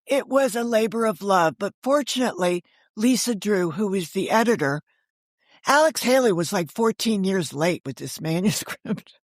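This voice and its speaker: A woman's voice: mid-range voice, expressive, normal speed, no room sound, clean studio sound. An older woman telling stories as an author and interview guest, given to rhetorical questions and acting out dialogue. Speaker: guest, author and former book editor. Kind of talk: animated storytelling in a podcast interview.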